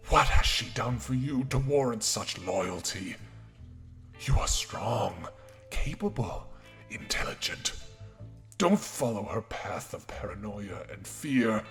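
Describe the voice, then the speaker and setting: Possessed hag voiced by a man, deep and whispery. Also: creaky. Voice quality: unnaturally deep and echoing, whispery. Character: possessed old woman, menacing, hissing, otherworldly.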